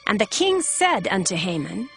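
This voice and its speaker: Female narrator: solemn, measured scripture storytelling, medium pitch, light musical bed. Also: big pitch swings, gravelly. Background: background music.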